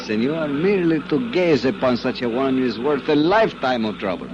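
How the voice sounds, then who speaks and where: Man playing effusive, courtly Latin police captain, very animated. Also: medium pitch, noisy background, natural voice. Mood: smitten, dreamy. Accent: Latin American Spanish. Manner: halting, ornate foreign English with frequent hesitations. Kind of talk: 1950s radio drama acting. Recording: muffled old radio broadcast.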